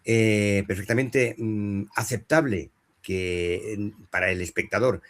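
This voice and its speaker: Brief: middle-aged man, low voice, dubbing director at a panel, hesitant storytelling. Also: slow pace. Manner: anecdotal, many fillers and restarts. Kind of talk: panel talk at a professional meeting.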